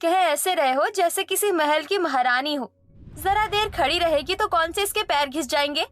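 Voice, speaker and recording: Woman voicing a high-pitched scornful, greedy in-law. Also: normal speed, a bit bright, soft background music. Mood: sneering. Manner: scornful, taunting. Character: greedy, cruel, scornful mother-in-law and her daughter. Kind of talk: Hindi cartoon story dialogue.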